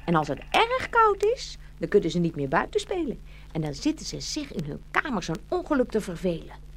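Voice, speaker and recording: A woman playing a motherly stork in a children's fairy-tale radio play, brisk and animated, scolding and coaxing by turns. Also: on the low side, slight background noise. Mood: explaining.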